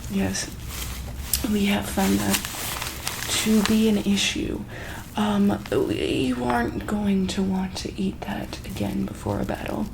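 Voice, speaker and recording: A soft-spoken woman in an ASMR medical roleplay, playing an examiner who asks gentle questions, repeats them, and sometimes drops into a breathy whisper. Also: medium pitch, little pitch movement, takes it slowly, background noise.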